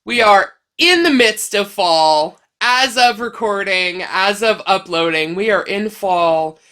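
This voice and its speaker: A woman's voice: mid-range voice, animated, takes it slowly, fairly bright tone. A woman hosting a crochet vlog in a casual, playful, chatty way, sounding slightly stuffed up with a cold. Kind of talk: casual chatty talk to camera.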